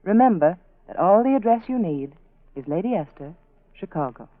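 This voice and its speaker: A woman's voice: mid-range voice, animated, moderate pace, no echo, soft background music. A woman reading a scripted 1940s radio advertisement as a spokeswoman. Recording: dry, muffled narrow-band vintage radio.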